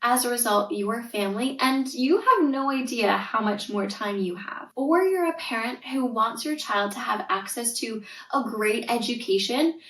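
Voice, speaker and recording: A Canadian woman in her thirties with a somewhat high voice talks earnestly and reassuringly, with emphatic stress. She sits a bit back from the mic, and the room gives her voice a noticeable echo. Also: animated, moderate pace, fairly bright tone, recorded from a distance. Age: 30s. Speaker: podcast host, tutor and coach. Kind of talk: scripted solo podcast intro.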